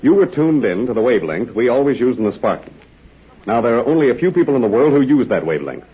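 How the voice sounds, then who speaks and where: A man playing a calm, steady heroic pilot in a 1940s radio serial, explaining things patiently. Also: mid-range voice, slightly rough. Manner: reassuring, deliberate, explains patiently. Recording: dull 1940s radio transcription.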